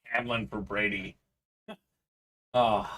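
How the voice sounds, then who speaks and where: A man's voice: on the low side, fairly even intonation, slow, pushed and bright, rough recording. A Bulgarian-born man hosting a live sports card break, chatting casually and reading out viewer comments. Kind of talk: casual live-stream chat.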